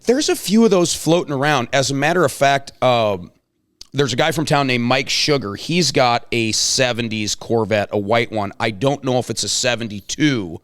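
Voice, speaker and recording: Male interviewer, average pitch, casual conversation, chummy, encouraging. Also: moderate pace, a bit bright. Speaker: host, interviewer.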